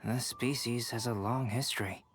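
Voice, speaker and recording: A man voicing a calm, scholarly young alchemist, measured, precise and polite, in an anime-style video game. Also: mid-range voice, natural voice. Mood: composed. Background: faint game music.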